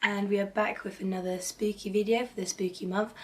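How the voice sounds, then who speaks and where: A woman hoarse from a cold, hosting and narrating creepy stories in a cheerful, chatty, playfully spooky way. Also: mid-range voice, flat delivery, fairly quick. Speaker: horror storytelling channel host. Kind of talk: casual spooky story narration. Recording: slightly distant mic, faint background noise.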